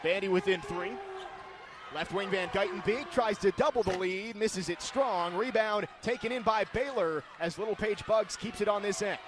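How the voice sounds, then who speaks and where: High-pitched male play-by-play sports announcer. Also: normal speed. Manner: clipped, vivid play calling that often rises to shouts. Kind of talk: live sports commentary. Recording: noisy live game broadcast.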